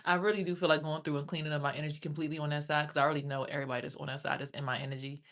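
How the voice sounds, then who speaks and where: A woman's voice: low voice, level tone, rapid-fire, a bit bright. A young woman, chatting loosely on a livestream, rambling about spiritual topics and swearing freely. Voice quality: raspy. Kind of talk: casual livestream chat.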